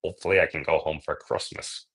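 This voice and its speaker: A man's voice: very deep voice, fairly even intonation, fast talker, a bit bright. A man hosting a livestream in a casual, rambling chat, jokey and self-deprecating as he drifts into digressions. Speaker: livestream host.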